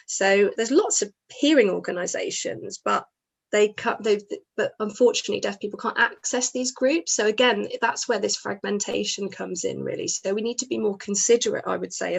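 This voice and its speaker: Woman, interpreter voice, earnest, measured, mid-range pitch. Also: gravelly, slightly rough.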